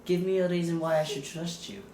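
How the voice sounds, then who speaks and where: A man's voice: a little high, steady tone, mellow, a little hiss or hum, lightly acted. A man playing a suspicious, wealthy client in an improvised comedy sketch, talking in a campy, theatrical way and calling people "darling". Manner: campy, drawn-out "darling" endings. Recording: roomy echo.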